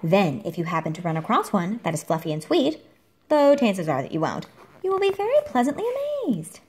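A woman reading a picture book aloud to a child at home, expressively, with theatrical emphasis and dramatic pauses. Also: fairly low pitch, big pitch swings, a little mellow. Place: indoor room at home. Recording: home recording with clear background noise, clicks.

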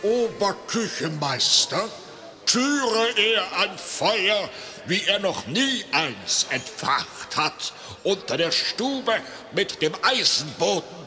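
A pompous, scheming king issues commands in a children's radio play. He speaks slowly with sweeping pitch, and faint music plays behind. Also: big pitch swings, sharp tone, full character voice.

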